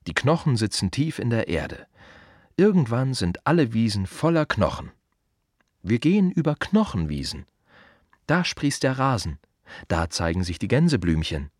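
An actor playing a plain-spoken, reflective gravedigger in a radio play monologue, talking to the listener in short, repetitive, wry phrases. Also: male voice, mid-range voice, a little mellow, slightly rough, no room sound, clean studio sound. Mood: musing.

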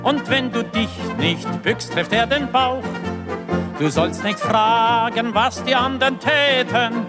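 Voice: medium pitch, slow pace. A singer performing a satirical cabaret chanson in verse, with musical accompaniment. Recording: music behind the voice.

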